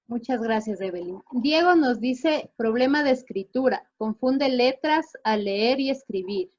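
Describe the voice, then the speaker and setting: A woman's voice: on the high side, lively intonation, measured pace, darkish tone, dull audio. A woman host who thanks the guest and reads out viewer questions.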